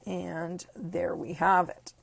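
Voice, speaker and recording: Hoarse woman, croaky and gravelly, raspy tone, slow chatty craft demo. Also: lowish voice, little pitch movement. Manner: chatty, upbeat step-by-step explaining. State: hoarse, losing her voice. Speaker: craft demonstrator. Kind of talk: card-making tutorial.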